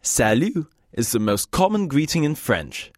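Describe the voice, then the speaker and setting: A man's voice: medium pitch, very animated, measured pace, no echo, clean studio sound. A man from the UK hosting a scripted language course, with a wry, joking teacher's manner. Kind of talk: scripted lesson narration.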